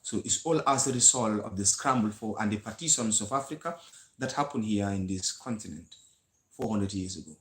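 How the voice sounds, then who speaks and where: A man's voice: lowish voice, lively intonation, brisk. Lecturing Gambian man, echoey room. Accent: Gambian English. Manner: earnest, lecturing with many uh fillers and restarts. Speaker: host of a history channel. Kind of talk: live-stream history talk.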